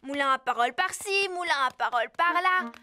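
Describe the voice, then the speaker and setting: A small cartoon sheep girl with a very high, bright voice. She is frank, playful and childlike. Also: expressive, a little fast. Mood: teasing.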